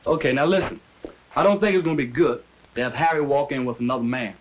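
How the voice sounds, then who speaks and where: A man acting as an American lead investigator, directive and businesslike. The voice is mid-pitched and plain-spoken, on a dull, faintly noisy 1970s film soundtrack. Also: lively intonation, fast talker, close to an everyday voice. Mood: decisive, businesslike. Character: businesslike male senior drug investigator briefing his team.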